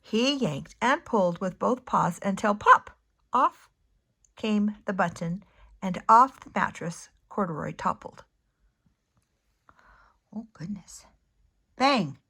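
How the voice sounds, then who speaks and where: An older woman reading a children's picture book aloud, expressively, with pauses, as a grandmother talking to a young listener. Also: medium pitch, lively intonation, a bit bright, slightly rough. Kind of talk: unhurried children's storybook read-aloud.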